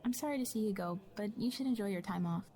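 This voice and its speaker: A woman's voice: mid-range voice, quick pace, mellow, lightly acted. An actress playing a concerned, probing female colleague in naturalistic audio drama dialogue.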